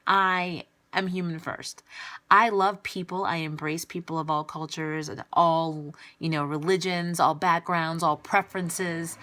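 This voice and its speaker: A woman's voice: on the low side, pushed and bright. A woman vlogger speaking straight to camera, answering her critics in an exasperated, emphatic and blunt way. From United States. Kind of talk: direct-to-camera rebuttal vlog.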